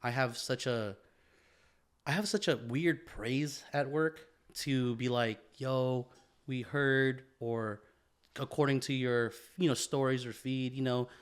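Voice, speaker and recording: Male podcast co-host, mid-pitched. Informal and reflective, profane, repeats phrases, addresses listener as "man" or "bro". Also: fairly even intonation, slow pace, clear, resonant tone. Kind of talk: casual, reflective conversation.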